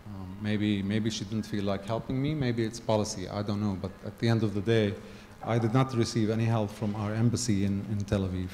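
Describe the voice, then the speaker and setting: A middle-aged man from Gaza tells a personal story at a conference, speaking haltingly with many ums and self-corrections. His voice sits low and mellow. He holds a handheld mic in a large hall with a long reverb and faint background noise. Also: fairly even intonation.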